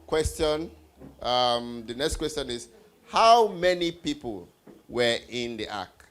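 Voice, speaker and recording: Slow-paced quiz leader for children. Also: medium pitch, animated, a bit bright, clean tone. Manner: encouraging, repeats answers back to the group. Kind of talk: live children's Bible trivia quiz. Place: live group event with children before a stage.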